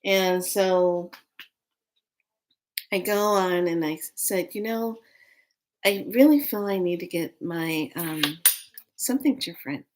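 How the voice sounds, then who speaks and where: A woman's voice: fairly low pitch, takes it slowly, pauses often. Fifty-something lady rambling to her stream viewers, chatty and easygoing. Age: 50s. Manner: chatty, rambling, animated storytelling. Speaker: vlogger. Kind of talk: casual live stream chat.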